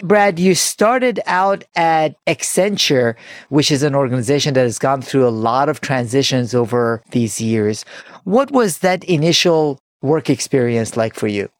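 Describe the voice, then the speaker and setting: A man's voice: mid-range voice, expressive, a little slow, no echo, clean studio sound. A man hosting a podcast interview, giving enthusiastic, polished introductions and asking questions. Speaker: podcast host.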